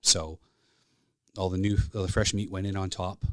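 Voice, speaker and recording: Canadian man, fifties, low pitch, casual storyteller, plain-spoken, dry recording. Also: level tone, normal speed, no room sound. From Alberta, Canada. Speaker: podcast guest, flight service specialist. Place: podcast studio.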